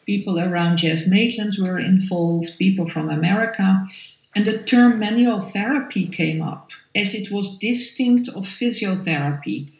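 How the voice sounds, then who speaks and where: Interview guest, female, Dutch accent, native Dutch speaker, gravelly creak, explanatory delivery with pauses, roomy echo. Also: medium pitch. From Netherlands. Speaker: physiotherapy lecturer being interviewed. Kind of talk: interview conversation, explaining professional work. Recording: echoey room sound.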